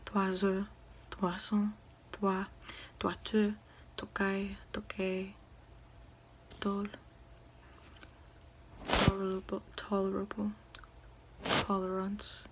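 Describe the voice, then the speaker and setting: A woman doing ASMR in a soft, breathy whisper, sounding out words one at a time. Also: medium pitch, monotone, drawn-out words, pauses often, slight background noise, rough recording. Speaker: ASMR creator reading a French dictionary. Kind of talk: ASMR whispered word-by-word reading.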